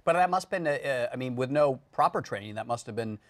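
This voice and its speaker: A man interviewing guests conversationally, inquisitive and often halting as he forms his questions. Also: mid-range voice, expressive, fairly bright tone, dry recording. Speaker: talk show interviewer.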